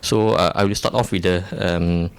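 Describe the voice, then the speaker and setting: A man's voice: low voice, steady tone, moderate pace, vocal fry, clear, resonant tone, no room sound. A young man from Malaysia, a resident giving a debate opening statement, hesitant with many fillers and restarts.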